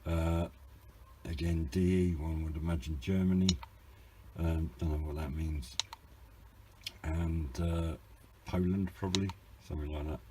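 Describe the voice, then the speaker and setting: A man's voice: rumbling low, steady tone, measured pace, noisy background. A British man giving an informal, hesitant and understated hobby product review, rambling a little as he explains. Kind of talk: informal unboxing and review narration.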